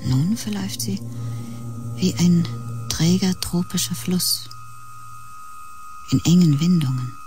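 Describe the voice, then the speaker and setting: Low, breathy, brooding woman over music. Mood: wistful, brooding. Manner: slow, breathy, half-whispered. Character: wistful woman narrator brooding on time. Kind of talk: poetic audio drama monologue.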